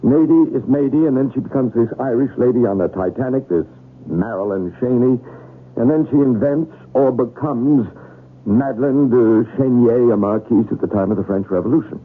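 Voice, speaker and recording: An actor playing a worried, insistent husband and professor, speaking earnestly in an old-time radio drama style. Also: male voice, medium pitch, normal speed, breathy edge, lightly acted. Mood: puzzled, concerned. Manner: earnest, urgent. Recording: tinny, noisy old radio broadcast.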